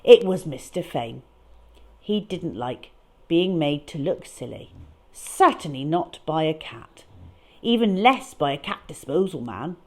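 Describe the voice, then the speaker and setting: Animated woman reading a children's story. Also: low-pitched. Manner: expressive, emphatic, drawn-out key words. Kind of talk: dramatic read-aloud storytelling.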